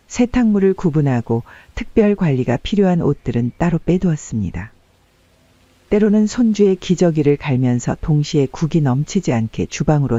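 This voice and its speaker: Male audiobook narrator for domestic stories: mellow, slightly high register, measured storytelling. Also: dry recording, muffled recording.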